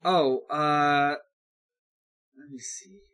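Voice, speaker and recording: Slow, hesitant man thinking aloud. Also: fairly high pitch, steady tone, fairly bright tone, clean tone. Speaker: podcast co-host. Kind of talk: casual chat.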